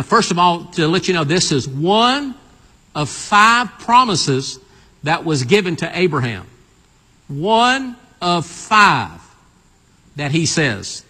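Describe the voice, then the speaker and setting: A preacher in his sixties with a husky, bright edge to his voice, pitched a little high for a man. He urges the congregation to answer back, and his words ring out through a church with a long reverb. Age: 60s. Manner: call-and-response preaching, urging the congregation. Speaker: pastor. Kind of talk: sermon. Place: church sanctuary.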